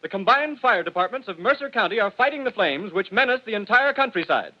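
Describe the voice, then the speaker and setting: A grave newsreader, played by a man, reads grim reports in a 1930s radio play. His voice is quite high, and the broadcast sounds dull and muffled. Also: lively intonation, fairly quick. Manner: grave, formal news reading. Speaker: announcer. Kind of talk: 1930s radio drama acting.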